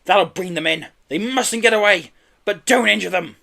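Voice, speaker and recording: Man putting on a loud sneering villain boss. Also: high voice, normal speed, creaky, voice pushed up, full character voice. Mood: sneering, commanding.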